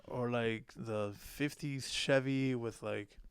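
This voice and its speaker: A man's voice: medium pitch, steady tone, slow pace, slight background noise. A man from Cuba talking as a podcast guest, telling personal stories in casual, informal language. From born in Cuba, left as a small child. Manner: slangy, informal. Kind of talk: casual chat, personal storytelling.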